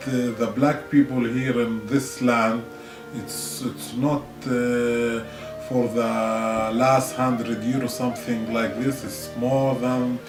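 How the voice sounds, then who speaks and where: A man answering interview questions hesitantly, searching for his words in English. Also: mid-range voice. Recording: distant mic in an echoey room.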